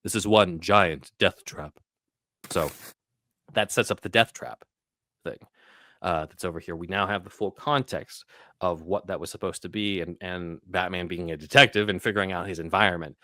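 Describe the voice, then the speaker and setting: A man hosting a podcast, giving casual scene-by-scene film commentary in a halting, conversational, explanatory way. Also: deep voice, pushed and bright, dry recording. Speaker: podcast host.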